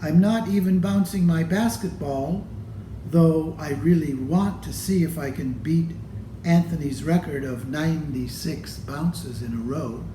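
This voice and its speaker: A man reading a children's picture book aloud in a deliberate manner, pausing between phrases. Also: on the high side, background noise. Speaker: storybook reader. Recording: roomy, echoey room sound.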